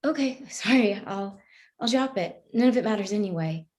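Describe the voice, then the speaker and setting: A woman's voice: mid-range voice, expressive, normal speed, darkish tone, natural voice. A woman playing a morally troubled woman who questions a cop earnestly and persistently in a live play reading. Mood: conciliatory.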